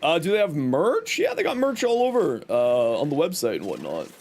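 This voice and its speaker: A man's voice: fairly high pitch, huge pitch swings, moderate pace, darkish tone. A young man streaming a game and chatting with viewers, his voice scratchy from a cold, rambling with lots of fillers. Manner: rambling, reads and answers chat with many fillers.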